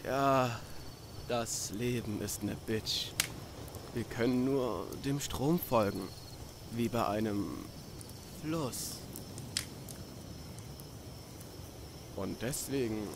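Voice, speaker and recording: A man's voice: mid-range voice, slow pace, background noise. A man voicing a laid-back, dreamy young drifter in a video game fan dub, slangy and musing. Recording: night crickets and outdoor ambience behind. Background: cricket and insect ambience.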